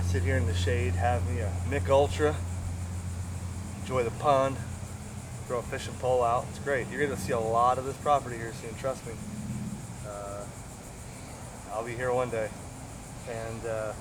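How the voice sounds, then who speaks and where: A man's voice: medium pitch, steady tone, measured pace, pauses often. A middle-aged American man chatting informally and enthusiastically in a rambling, unscripted vlog about his hobby gear. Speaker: ham radio hobbyist and video host. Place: outdoors on grass beside a pond under shade trees. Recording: outdoor camera audio with clear ambient noise.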